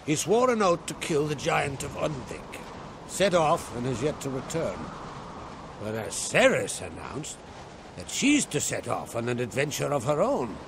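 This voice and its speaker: An actor playing an older island king and father in a video game cutscene, speaking in a steady, measured, paternal way. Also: mid-range voice, creaky, background noise. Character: older jarl, father of two grown children. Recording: game audio with music behind.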